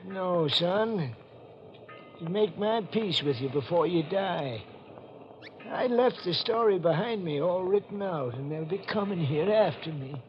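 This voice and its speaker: A vengeful old rustic who is touched in the head, in a 1940s radio drama. His voice is high and swings widely as he takes his time over folksy, cackling speech. The old recording is muffled. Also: expressive, unhurried, a little hiss or hum. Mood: softened, solemn. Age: older. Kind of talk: 1940s radio mystery acting.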